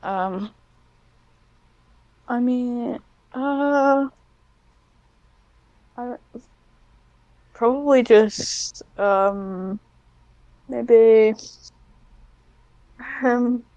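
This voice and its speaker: A woman's voice: on the high side, drawn-out words, pauses often, mellow, no room sound, dull audio. A woman chatting casually as a podcast guest, hesitant and self-deprecating, with a giggly manner. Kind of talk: casual interview chat.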